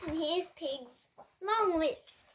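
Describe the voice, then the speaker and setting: A girl with a high, bright voice reads a children's storybook aloud. Her delivery is slow and deliberate, with dramatic phrasing made for young listeners. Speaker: host reading children's picture books. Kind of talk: expressive storybook read-aloud.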